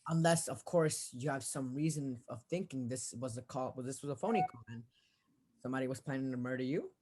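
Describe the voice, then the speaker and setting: A woman playing a weary, placating police officer in a radio drama, husky-voiced, offhand and patronizing. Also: very deep voice, a little fast, dark tone. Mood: dismissive. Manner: offhand, patronizing, brushing the caller off. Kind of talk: 1940s radio drama acting.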